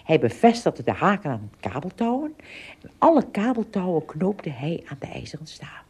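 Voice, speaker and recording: A woman narrating a scripted adventure story for a radio play, in expressive storyteller style. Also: fairly low pitch, moderate pace, breathy edge.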